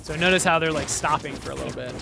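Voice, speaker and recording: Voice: mid-range voice, fast, creaky, over music. A game developer giving casual gameplay commentary, explaining things step by step and correcting themselves along the way. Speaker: game developer demoing own game.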